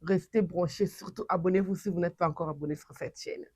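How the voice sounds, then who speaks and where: A woman from Congo with a Congolese French accent, hosting her channel and giving animated casual commentary with many restarts. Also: on the low side, a little fast, dry recording, studio quality.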